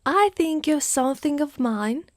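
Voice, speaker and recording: A woman's voice: sits high, animated, unhurried, no echo. A woman playing a devoted, fierce dragon knight in a dramatic ASMR roleplay, speaking in formal, archaic phrases with theatrical weight. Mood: menacing, commanding.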